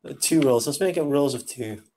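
A man leading his squad in an online battle game, calling out orders over voice chat in a casual, bossy way. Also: medium pitch. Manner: bossy, shouting quick orders.

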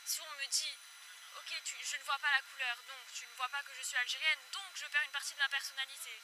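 A woman's voice: sits high, fairly even intonation, fast. A young woman in her twenties speaking earnestly in a street interview on a city square, with rhetorical questions, into a handheld mic. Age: 20s. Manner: earnest, questioning, softening toward the end. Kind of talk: street interview, personal opinion. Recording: outdoor street noise.

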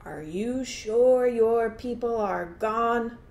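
A woman voicing a big, hungry, gullible male alligator with drawn-out menace in a folktale. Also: fairly high pitch, darkish tone, reverberant, lo-fi sound. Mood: wary.

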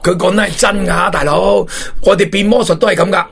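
A man's voice: high-pitched, fairly even intonation, a little fast, gravelly, fairly bright tone, a little hiss or hum. A man with a Hong Kong Cantonese accent, co-hosting comedy banter and playfully asking naive questions. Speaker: co-host.

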